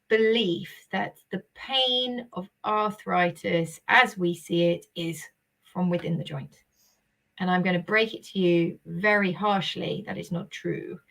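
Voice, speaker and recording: Host: woman, mid-pitched voice, bubbly and emphatic, drives the conversation. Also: vocal fry, fairly bright tone, poor audio. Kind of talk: live-streamed interview chat.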